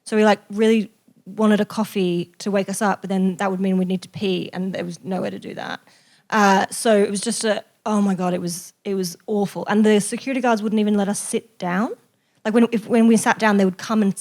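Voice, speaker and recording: A woman telling an anecdote to an audience in a casual, animated, self-deprecating way. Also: medium pitch. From raised in Australia. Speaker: guest journalist and author.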